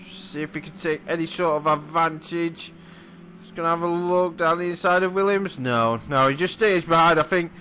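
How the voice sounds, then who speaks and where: A man, hoarse and croaky from losing his voice, commentates a sim race replay in a bright, slightly high voice. He chats along casually, often correcting himself and apologising. Faint car engine noise runs underneath. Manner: chatty, apologetic, self-correcting. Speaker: sim racing commentator and driver.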